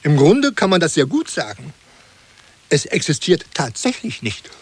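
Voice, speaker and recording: An actor playing an earnest, pedantic gentleman who talks politely and qualifies himself, in an absurd comic radio play. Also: on the high side, wildly swooping pitch, normal speed, slightly rough, no room sound, exaggerated voice. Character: earnest, pedantic gentleman praising country life.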